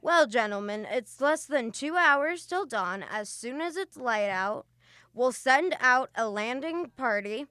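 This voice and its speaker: A woman voices a stern spaceship captain in an old-style radio drama, barking clipped commands. The voice is bright, crisp and fairly high. It is unhurried and recorded dry and close. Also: animated, dry recording, exaggerated voice. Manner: clipped, authoritative orders. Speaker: student radio drama actor.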